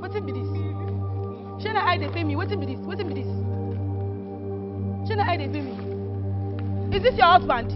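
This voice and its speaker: A woman's voice: very high voice, lively intonation, fairly quick, long pauses. A woman with a Nigerian accent playing a furious, confrontational woman in a film drama, taunting and repeating her challenges. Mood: scornful. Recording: muffled lo-fi audio under loud music.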